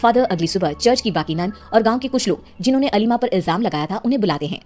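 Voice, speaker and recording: A woman's voice: mid-range voice, lively intonation, fast, darkish tone, faint music behind. A woman narrating a scripted horror cartoon story as its storyteller.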